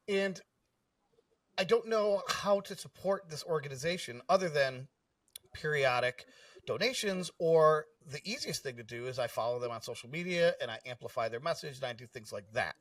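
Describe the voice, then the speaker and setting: A man's voice: medium pitch, a bit bright, no echo. Man podcast host, dry and slightly distant. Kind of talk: casual personal storytelling and questions.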